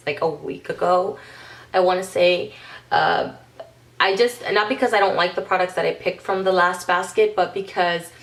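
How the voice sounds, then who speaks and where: A woman's voice: lowish voice, fairly bright tone. Congested, chatty woman beauty vlogger. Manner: chatty, rambling, frequent ums and self-corrections. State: congested, under the weather. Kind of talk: casual makeup vlog.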